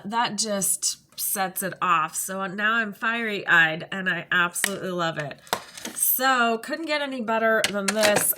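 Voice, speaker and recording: Slow, chatty woman with a bright voice. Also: medium pitch, slight background noise. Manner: chatty and rambling, many ums and self-corrections. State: stuffy nose. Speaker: makeup vlogger. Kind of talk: casual makeup review chat. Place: home.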